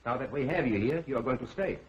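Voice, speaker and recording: A menacing, insistent foreign villain from a 1930s movie, played by a man, with a mid-range voice and lively pitch. He sounds like an old film track, dull and faintly noisy. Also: quick pace, lightly acted. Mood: coolly menacing.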